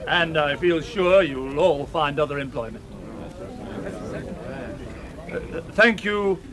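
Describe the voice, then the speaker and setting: An actor playing an ailing, weary but firm middle-aged British factory owner in a radio drama, speaking formally with some hesitation. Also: male voice, a little high, expressive, takes it slowly, a bit bright. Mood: formal, sombre.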